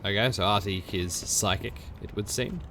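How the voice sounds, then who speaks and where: A man with a hoarse, croaky voice from a cold, casually thinking out loud as he plays a video game. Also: lowish voice, moderate pace, background noise. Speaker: gameplay channel host. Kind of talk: casual let's-play commentary.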